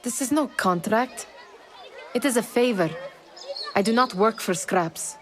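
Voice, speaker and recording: A woman's voice: fairly high pitch, animated, measured pace, a faint crowd. A woman playing a proud, sardonic young thief in a video game, speaking in a pointed, scolding manner. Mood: reproachful. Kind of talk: dramatic video game acting.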